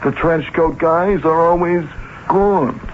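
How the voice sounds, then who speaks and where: Radio drama janitor: slangy, sarcastic American, higher-pitched, thin noisy old broadcast sound. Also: male voice, takes it slowly, phone-quality sound. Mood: chatty, dismissive. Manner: blunt, slangy, wisecracking. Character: gruff, sarcastic male city janitor.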